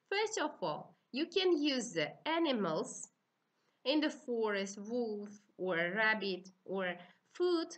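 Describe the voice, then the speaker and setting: A middle-aged woman with an Uzbek accent, lecturing to trainee teachers in simple, halting, instructive phrases. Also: a little high, fairly bright tone. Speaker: university teaching-methods lecturer.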